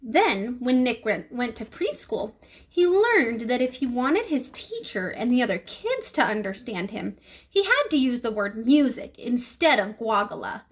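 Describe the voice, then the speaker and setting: A woman reading a children's book aloud in an expressive, emphatic storytelling manner. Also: on the high side, measured pace, husky. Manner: animated, emphatic storytelling with questions to listeners.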